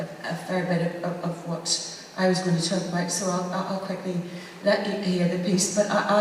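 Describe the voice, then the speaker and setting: A woman speaking as an actor on a panel, thoughtful and halting as she searches for words in an informal talk to an audience. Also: fairly low pitch, a little mellow. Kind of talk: informal talk to an audience about a theatre project. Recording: echoey hall, distant mic, faint noise.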